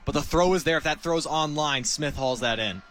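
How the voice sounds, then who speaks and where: An American man serves as color analyst on a football broadcast. His voice is fairly high with a sharp, bright tone. He breaks down each play in conversational live commentary. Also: moderate pace, a little hiss or hum.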